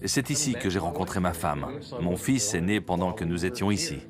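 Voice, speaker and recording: A worried restaurant owner. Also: male voice, low-pitched, expressive, a little fast. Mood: earnest. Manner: earnest, worried confiding. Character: weary middle-aged male restaurant owner, anxious. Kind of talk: dubbed reality TV confessional. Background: music bed.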